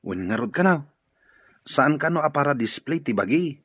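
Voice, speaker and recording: A man's voice: fairly high pitch, animated, normal speed, a bit bright, slightly rough, no echo. An actor in an Ilocano radio drama playing an amiable, easygoing man at the office. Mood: amused. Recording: dull lo-fi audio.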